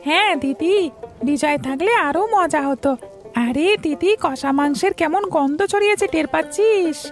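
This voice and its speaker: A woman's voice: sits high, moderate pace, faint music behind. A woman voicing a small, hungry, pleading village child in a cartoon story. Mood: eager, craving.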